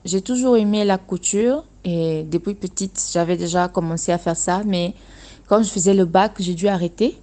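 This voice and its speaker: A young woman from Angola speaks in a low, mellow voice. Portuguese is her first language, and she talks earnestly. She often restarts her sentences as she searches for words. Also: normal speed. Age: young adult. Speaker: guest, dressmaker running her own workshop. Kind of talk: radio interview testimony.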